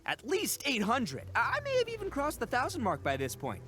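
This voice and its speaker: A man's voice: very high-pitched, wildly swooping pitch, a little fast, bright, forceful tone, soft background music, exaggerated voice. A man voicing a boastful, boisterous young oni in a video game, with cocky, slangy, theatrical delivery and childlike enthusiasm. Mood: enthusiastic, boastful. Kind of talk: animated video game voice acting.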